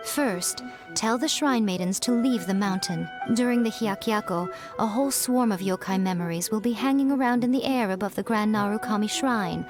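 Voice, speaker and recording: A woman voicing a composed, ageless fox-spirit shrine priestess in a video game, speaking deliberately and knowingly. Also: medium pitch, natural voice. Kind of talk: English video game voice acting. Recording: music behind game dialogue.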